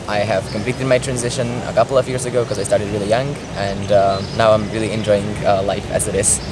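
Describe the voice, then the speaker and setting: A man in his twenties from the Czech Republic, introducing himself in an easygoing way, often starting with a hesitant "um". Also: on the low side. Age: 20s. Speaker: interviewee. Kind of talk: casual self-introduction. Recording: outdoor traffic noise.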